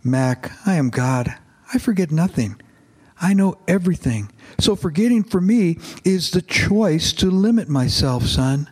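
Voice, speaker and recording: A man reading aloud in the voice of God as a fatherly figure, calm, gentle and patient. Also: fairly high pitch, a little slow, husky, pitched above the performer's own voice.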